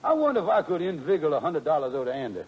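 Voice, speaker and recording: An actor playing a lazy, wisecracking schemer of a husband in 1940s radio comedy, with folksy ungrammatical dialect. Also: male voice, a little high, big pitch swings, racing, slightly rough. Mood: grumbling, scheming. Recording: muffled, noisy 1940s radio recording.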